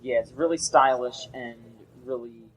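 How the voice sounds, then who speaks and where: A man's voice: medium pitch, normal speed, low-quality recording. A young man co-hosting a casual gaming podcast, rambling and often restarting his sentences. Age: young adult. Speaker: podcast co-host.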